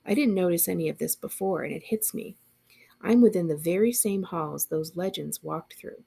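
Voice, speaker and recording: Creaky, husky woman reading a story. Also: lowish voice, moderate pace, mellow. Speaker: librarian reading aloud. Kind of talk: expressive audiobook narration.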